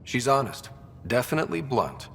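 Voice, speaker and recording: A man's voice: big pitch swings, normal speed, vocal fry, a bit bright. An actor playing an earnest, curious young male leader of explorers in a video game. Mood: earnest, inquiring. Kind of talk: video game character dialogue. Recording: long reverb, faint rumbling background noise.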